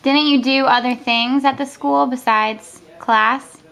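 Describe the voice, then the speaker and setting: A woman's voice: sits high, steady tone, slow, vocal fry, fairly bright tone. A woman chatting casually as she listens and prompts a storyteller. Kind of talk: casual chat.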